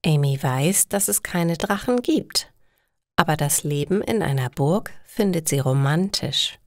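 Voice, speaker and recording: Woman, story narration for learners, very slow careful phrasing, lively intonation. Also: sits low, no echo, clean studio sound. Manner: careful, simple, clearly separated phrases. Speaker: narrator of stories for language learners.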